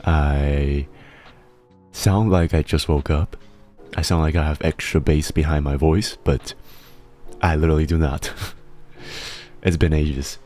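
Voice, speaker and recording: Male streamer, very low pitch, rambling and self-deprecating, slightly slurred speech, soft music underneath. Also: fairly even intonation, measured pace. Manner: rambling, self-deprecating, laughs often, reads chat aloud. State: slightly slurred speech from a healing tongue injury. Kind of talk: casual chat stream.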